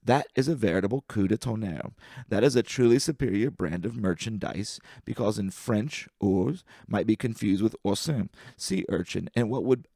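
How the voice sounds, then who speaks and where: A man reading humorous poetry aloud as an amateur, with a deliberate, wry delivery and careful enunciation. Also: lowish voice, animated, creaky, dark tone, dry recording, clean studio sound.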